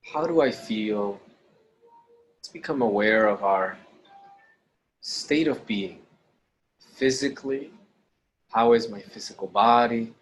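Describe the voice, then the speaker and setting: A man leading a guided meditation in a slow, deliberate, gentle instructional way, pausing between short phrases. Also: medium pitch, poor audio. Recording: clearly audible background noise.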